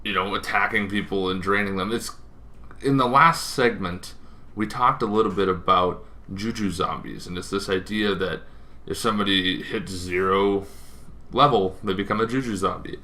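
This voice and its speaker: A man's voice: deep voice, normal speed, bright, forceful tone. A man hosting an informal explainer, talking in a rambling way with asides and self-corrections. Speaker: host of a tabletop gaming show.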